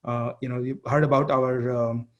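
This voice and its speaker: A man presenting a product keynote as a company chief executive, speaking haltingly and often repeating words and phrases. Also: mid-range voice, level tone, dull audio.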